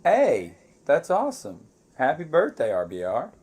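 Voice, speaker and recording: A man in his twenties co-hosting a live movie commentary, chatting casually with viewers in a relaxed way. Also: a little high, measured pace, husky. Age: 20s. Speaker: co-host of a movie commentary channel.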